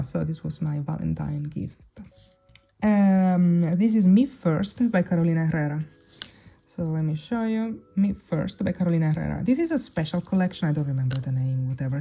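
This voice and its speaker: Low gravelly woman with Italian native tongue. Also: mellow. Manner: chatty, restarts and fillers, laughs often. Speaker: perfume reviewer. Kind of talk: casual chat to camera. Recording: dull lo-fi sound.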